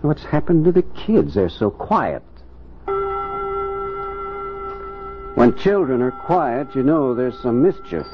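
A man's voice: medium pitch, sing-song, measured pace, music in the background. An actor playing a sensible, wry suburban husband and father who gets exasperated with his family, in a 1950 radio drama. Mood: wry, relaxed. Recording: muffled 1950 radio recording, loud noise.

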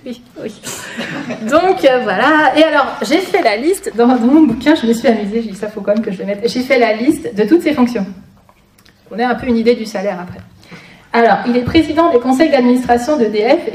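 A woman's voice: a little high, a little mellow. A woman giving an informal public lecture as a guest speaker, with wry, sarcastic asides. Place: conference room before an audience. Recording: echoey room, distant mic, noisy.

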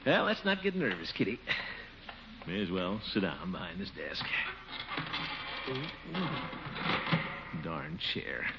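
A man's voice: low-pitched, huge pitch swings, moderate pace, vocal fry, exaggerated voice. A man playing a pompous, gullible, jittery police commissioner in a blustery, chuckling 1940s radio comedy style. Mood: nervous. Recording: muffled, noisy 1940s radio recording.

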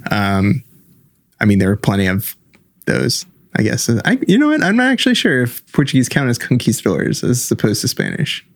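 A man's voice: mid-range voice, wildly swooping pitch, moderate pace, no echo, clean studio sound. A man co-hosting a podcast, chatting casually and hedging a lot, often starting over with "I don't know". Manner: hesitant, hedging, full of restarts. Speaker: podcast co-host. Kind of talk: casual chat.

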